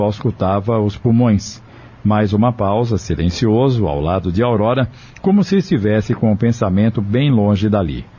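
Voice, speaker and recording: A Brazilian man narrating an audio drama from a script. His voice is dark and slightly husky, and the recording is dull and lo-fi. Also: fairly low pitch.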